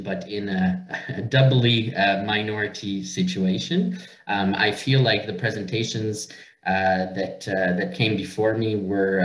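A man from Northern Ontario, a native French speaker with a Canadian French accent, giving a webinar talk in a halting way, full of "uh" as he searches for words. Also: on the low side, fairly bright tone. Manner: hesitant, many filler uh's, searching for words. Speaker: organization director, webinar speaker. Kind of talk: presentation on a video call. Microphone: video call. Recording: echoey room on a video call.

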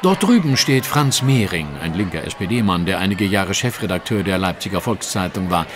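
An actor playing an astute, self-assured gentleman detective in an audio drama, precise and dryly teasing. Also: deep voice, lively intonation, moderate pace, background noise. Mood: dry, knowing. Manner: precise, explanatory, dry. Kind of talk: German audio drama dialogue.

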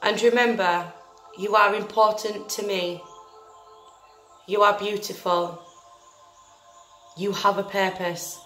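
A woman's voice: mid-range voice, steady tone. Woman guiding a meditation, roomy echo. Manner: soothing, gentle, deliberate with long pauses. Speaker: meditation guide. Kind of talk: guided meditation, scripted narration. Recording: roomy echo with faint music behind.

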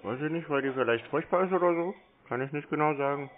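A man bantering casually on a phone call in a deadpan, cheeky manner. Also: on the high side, fast talker. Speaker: prankster answering scam calls. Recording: dull lo-fi phone-call recording.

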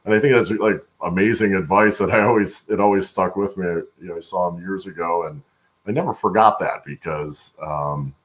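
A man with an extremely low, gravelly voice talks as a guest on a video call. He answers in a conversational way, like an experienced executive explaining his field. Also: moderate pace. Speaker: podcast guest, supply chain executive. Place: indoor room at home, on a video call.

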